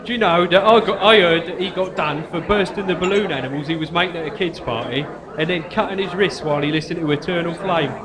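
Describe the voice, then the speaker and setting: A man acting a fretful English prison sidekick, naive and polite. His voice sits high and he speaks with earnest hesitation. A loud live audience surrounds the stage comedy. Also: fast talker, hardly any pauses, a crowd in the background, lightly acted. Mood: earnest, puzzled. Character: naive, fretful male accomplice convict, hapless sidekick.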